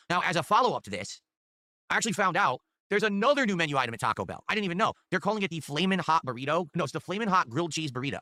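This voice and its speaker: A man's voice: bright, forceful tone, no room sound. A man hosting his own stream, chatting casually and hyping up viewers for support. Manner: upbeat hype pitching for tips. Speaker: streamer host.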